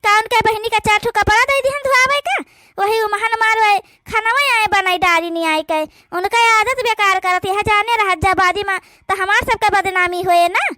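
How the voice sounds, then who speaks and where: A woman voicing a resentful, sharp-tongued village sister-in-law in a family cartoon, complaining and taunting. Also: very high voice, normal speed. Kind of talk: rural family cartoon dialogue.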